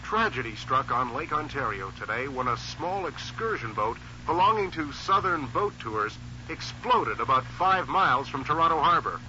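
An actor playing a solemn newsreader delivering a scripted bulletin. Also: male voice, medium pitch, lively intonation, normal speed, bright, forceful tone. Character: solemn male broadcast newsreader. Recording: dull radio sound with hum.

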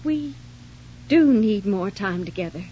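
A woman's voice: mid-range voice, lively intonation, unhurried, a little hiss or hum, natural voice. An actress playing an uneasy, earnest wife who schemes with her lover in a crime drama. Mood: pleading. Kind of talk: dramatic screen dialogue. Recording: muffled soundtrack under loud music.

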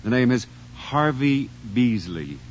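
An actor playing a doomed, sardonic husband who broods and schemes theatrically in a screen drama. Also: male voice, mid-range voice, very animated, takes it slowly. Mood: falsely cheerful. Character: doomed, scheming, sardonic husband plotting revenge on his wife. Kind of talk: dramatic screen acting, brooding monologue and dialogue. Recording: muffled soundtrack under loud music.

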